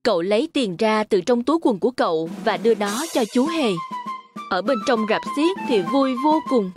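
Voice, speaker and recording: A woman's voice: on the high side, expressive, normal speed, music in the background. A woman narrating a scripted fairy tale for children.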